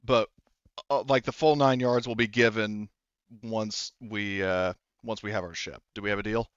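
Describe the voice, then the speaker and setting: A man voicing an anxious, guarded woman defector in a tabletop game, speaking haltingly. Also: fairly low pitch, steady tone, smooth, no echo, dull audio, close to an everyday voice. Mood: guarded, cooperative. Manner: hesitant, halting.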